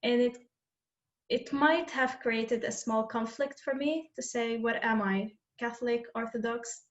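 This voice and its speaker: Woman from Palestine, panel guest, flat hesitant delivery, roomy muffled call audio. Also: on the high side. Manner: thoughtful, halting with fillers. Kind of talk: panel discussion answer.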